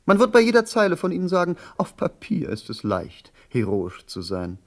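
A man's voice: fairly high pitch, wildly swooping pitch, normal speed. An actor playing a haughty, accusing male duel challenger in a radio drama, formal, pompous and cutting. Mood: condescending.

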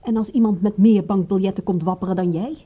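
An actress in a radio drama playing a private detective's shrewd, guarded assistant, with a dry, sardonic manner. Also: female voice, mid-range voice, fairly even intonation, quick pace, husky, close to an everyday voice. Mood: sardonic, suspicious. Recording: muffled, dull old radio recording.

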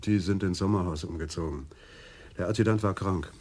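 An actor playing a stout, easygoing middle-aged police adjutant in a German radio crime drama, speaking in a dry, matter-of-fact way. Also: male voice, low voice, a little fast, a little mellow, slight background noise, close to an everyday voice.

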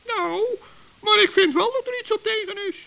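A man playing a small, earnest, trusting forest gnome with a squeaky, creaky voice in a children's radio play. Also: normal speed, pushed and bright, exaggerated voice, poor audio. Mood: hesitant, conscientious. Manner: earnest, naive, polite.

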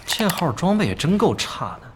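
A man's voice: a little high, very animated, moderate pace, noisy background. An actor playing a cocky, dismissive male star player who scoffs at his rivals in an audio drama. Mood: arrogant.

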